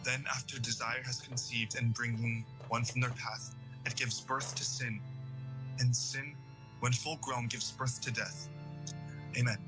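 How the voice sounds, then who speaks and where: A man's voice: mid-range voice, flat delivery, a little slow, over music, lightly acted, rough recording. Man playing a guilt-ridden, devout young man. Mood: solemn. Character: guilt-ridden, devout, earnest teenage boy confessing. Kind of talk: audio drama acting.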